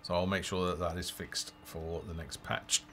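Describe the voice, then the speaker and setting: A man's voice: sits low, flat delivery, normal speed, sharp tone, slight background noise. A man giving casual live commentary as a game developer playing, thinking aloud in half-muttered asides. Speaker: game developer streaming a play-through. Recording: game music behind the voice. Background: game music and unit voices.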